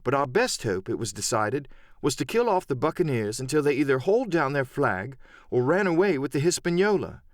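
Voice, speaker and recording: A man narrating an adventure novel as a dramatic audiobook, in a measured storytelling manner. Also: mid-range voice, big pitch swings, no echo, studio quality.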